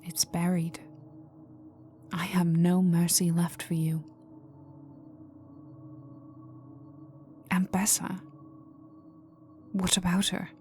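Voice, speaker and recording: A woman acts out an icy vampire noblewoman bent on revenge. Her voice sits fairly low and moves very slowly, imperious and threatening, often slipping into a whisper. It is a dark, intimate ASMR roleplay with music underneath. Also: level tone. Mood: cold, threatening. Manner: slow, menacing, imperious, formal, often dropping to a whisper. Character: cold vengeful aristocratic female vampire, young heiress in mourning.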